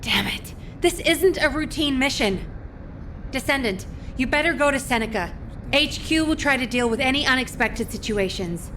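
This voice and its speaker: A brisk HQ officer. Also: female voice, expressive, sharp tone. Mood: urgent. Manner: brisk, businesslike briefing tone. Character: composed female headquarters officer. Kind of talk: video game cutscene voice acting. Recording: noisy, rumbling backdrop.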